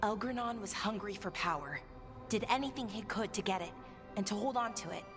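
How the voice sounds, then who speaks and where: A woman playing a candid, earnest elven adventurer in a video game, talking in an expressive, conversational way. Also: mid-range voice, a little fast, natural voice. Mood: disillusioned. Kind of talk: naturalistic video game dialogue. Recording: faint music behind the voice.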